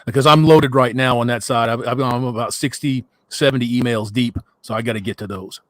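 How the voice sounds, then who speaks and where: A man's voice: mid-range voice, fairly even intonation, fairly quick, dry recording, studio quality. A middle-aged man hosting a casual call-in podcast, blunt and profane, laughing often. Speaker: podcast host.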